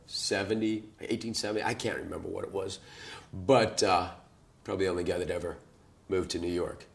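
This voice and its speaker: A man speaks wryly and offhandedly in a very low voice. His tone is gravelly, with heavy fry. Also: fairly even intonation, brisk. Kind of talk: documentary interview.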